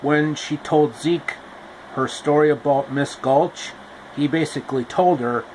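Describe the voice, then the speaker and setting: A man retells a classic tale in a casual, homemade storybook style, often repeating himself with explanatory asides. His pace is very slow and his voice is a touch higher than most men's. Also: darkish tone, slight background noise, low-quality recording.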